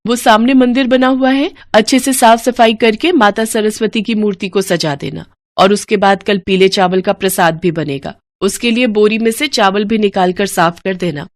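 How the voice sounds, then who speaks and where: A woman's voice: mid-range voice, normal speed, hardly any pauses, no room sound, voice pushed up, natural voice. Woman as kindly mistress of the house, dry. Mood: pleased, instructing. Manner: polite, instructing. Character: kindly, well-off mistress instructing her servant.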